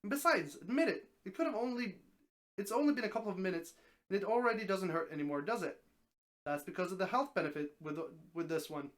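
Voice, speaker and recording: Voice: mid-range voice, lively intonation, quick pace, lightly acted. A reader voicing an affable male talking snake who grants wishes, explaining things patiently and confidently in a story.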